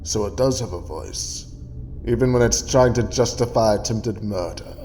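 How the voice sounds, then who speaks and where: An actor playing a stern, scornful alien time-traveller who lectures in a measured way in an audio drama. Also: male voice, lowish voice, warm tone, faint music behind, close to an everyday voice. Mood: cold reproach. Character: cold, stern alien time-traveller, adult man, wearily righteous.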